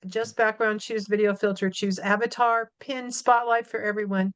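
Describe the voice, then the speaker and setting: A woman's voice: mid-range voice, little pitch movement, moderate pace, smooth. A woman in a virtual meeting, chattily reading out menu options as she tries to find a setting. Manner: chatty, reads menu items aloud. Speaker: commission member, temporary meeting host.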